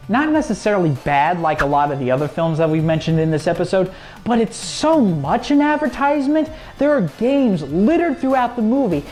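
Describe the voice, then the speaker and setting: A man co-hosting a gaming show, reading casual review commentary with emphatic, dramatic pauses. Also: sits high, darkish tone. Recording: music playing under the voice. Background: music.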